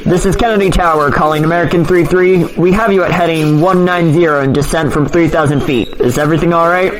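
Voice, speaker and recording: A man's voice: a little high, steady tone, fast talker, a crowd in the background, close to an everyday voice, poor audio. A man playing an urgent airport tower controller in an audio drama, speaking in procedural phrases. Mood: urgent, businesslike. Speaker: air traffic controller. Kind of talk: clipped radio procedure acting.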